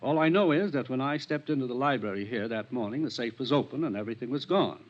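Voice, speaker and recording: A man's voice: medium pitch, animated, fast, close to an everyday voice. A man acting in a 1950s radio drama as a wealthy, genteel, evasive homeowner, speaking in a polite, formal, slightly haughty way. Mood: guarded, courteous. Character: genteel, wealthy, evasive male mansion owner. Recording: muffled 1950s radio recording.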